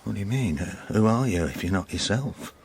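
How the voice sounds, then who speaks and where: A man's voice: fairly low pitch, animated, brisk, slight background noise. A man acting in a radio drama as a bewildered, jealous middle-aged English husband, blunt and plaintive, repeating himself. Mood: exasperated.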